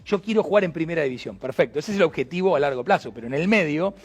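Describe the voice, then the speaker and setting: A man's voice: fairly high pitch, expressive, very fast, breathy edge. A man with an Argentine Spanish accent hosting an interview, talking in a hesitant way with many restarts. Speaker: host. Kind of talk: conversational interview.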